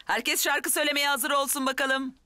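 A woman in her sixties playing a kindly older music teacher in a children's drama, patient, encouraging and gently instructive. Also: high voice, steady tone, fairly quick. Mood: cheerful. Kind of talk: children's television drama acting. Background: ukulele music.

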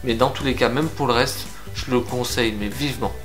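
A young man from France reviewing beard grooming products to camera in a frank, lively, casual way. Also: mid-range voice, faint music behind. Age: young adult. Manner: lively, frank, chatty with filler pauses. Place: indoor room with shelves of figurines.

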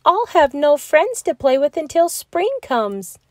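A woman voicing a small young male bear cub in a storybook, with high, childlike calls. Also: sing-song, measured pace, dry recording, studio quality. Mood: dismayed.

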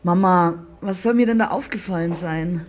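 A woman's voice: on the low side, moderate pace, background noise, natural voice. A young woman playing a matter-of-fact businesswoman daughter in an audio drama, composed and reassuring. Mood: composed, dismissive.

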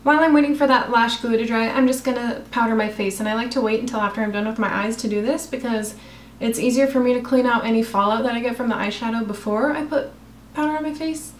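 Chatty young woman with a slightly raspy voice. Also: on the high side, fast, roomy sound, slight background noise. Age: young adult. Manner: chatty, self-deprecating, talks while applying makeup. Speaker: makeup vlogger. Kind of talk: casual makeup tutorial. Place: small room in her apartment. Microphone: camera close in front of face.

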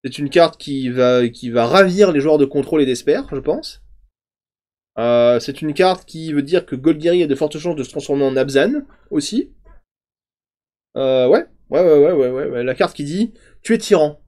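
A man in his thirties casually reviewing card previews on a stream, chatty and thinking aloud, often trailing off into quieter asides. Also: fairly high pitch, animated, moderate pace. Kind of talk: casual game commentary. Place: home streaming room, indoors.

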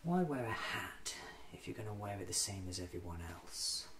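Eccentric male time traveller acted by a man, ASMR roleplay, playful musing, lively pitch, soft delivery, noisy background. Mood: whimsical, musing. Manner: whimsical, musing aloud, unhurried.

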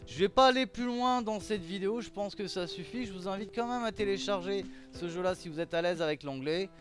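A man's voice: sits high, a little fast. A man hosting a gaming video with casual, rambling, playful commentary, often talking straight to the characters. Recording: music behind the voice. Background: game music.

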